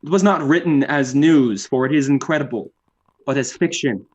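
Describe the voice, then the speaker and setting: An actor playing a proud, defensive young writer giving formal, precise testimony in an old-time radio drama. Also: male voice, medium pitch, normal speed, darkish tone, slightly rough, muffled recording, close to an everyday voice. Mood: defensive, resentful. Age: young adult.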